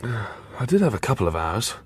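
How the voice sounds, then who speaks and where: A man's voice: fairly low pitch, very animated, normal speed, breathy edge, exaggerated voice. An actor playing an earnest, ambitious young policeman in a radio crime drama.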